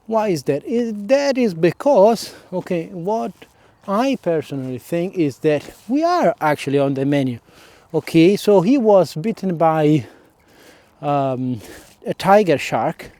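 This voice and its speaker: A man with an Italian accent speaks in a slow, unhurried way, his voice sitting a little high for a man. His tone is warm and mellow. He talks hesitantly to his followers, filling pauses and tagging "okay?" onto his points. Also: sing-song, no echo. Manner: hesitant, many fillers and "okay?" checks. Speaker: vlogger. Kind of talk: casual solo vlog.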